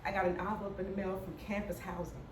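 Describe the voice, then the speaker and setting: A woman's voice: mid-range voice, little pitch movement, fast, heavy background noise. Woman who stutters, roomy distant sound. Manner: stutters, candid and humorous. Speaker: speaker telling her story. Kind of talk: personal story talk to an audience. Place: hall before an audience.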